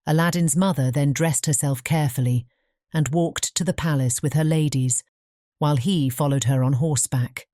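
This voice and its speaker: A woman narrating a scripted fairy tale as an audiobook, in a measured storytelling manner. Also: low voice, steady tone, dark tone, no echo.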